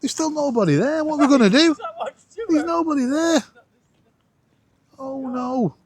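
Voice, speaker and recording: A middle-aged man with a husky voice, chatting casually and excitably as he hosts a vlog. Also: very high voice, normal speed, no echo. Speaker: vlog host. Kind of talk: casual chat to camera while testing a radio.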